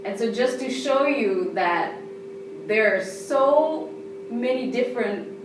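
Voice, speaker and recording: A woman from Kenya with a Kenyan accent, answering interview questions in a measured, unhurried way with pauses. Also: on the high side, expressive. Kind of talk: conversational interview. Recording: echoey room from far off, noisy.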